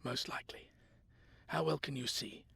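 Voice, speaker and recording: A man playing an anxious but determined grandfather on the run in an audio drama, urgent and often dropping into hushed whispers. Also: mid-range voice, fairly even intonation, moderate pace, creaky, husky, no room sound, exaggerated voice. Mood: hushed, tense. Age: older.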